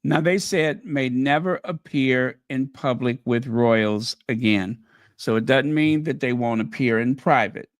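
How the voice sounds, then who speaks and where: Slow, chatty man hosting a livestream. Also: mid-range voice, no room sound, clean studio sound. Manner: chatty and musing, thinking aloud with many ums. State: losing his voice. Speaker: channel host. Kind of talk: casual livestream chat.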